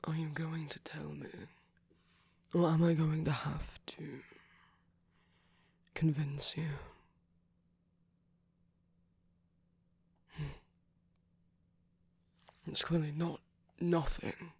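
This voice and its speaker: Male villain boyfriend for ASMR roleplay, acted by a man: husky and gravelly, commanding but caring, slow and coaxing, often slipping into whispers. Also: a little high, level tone, no echo, heavily acted. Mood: coaxing, suspicious. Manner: slow, deliberate, coaxing with pointed pauses. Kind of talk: intimate ASMR roleplay acting.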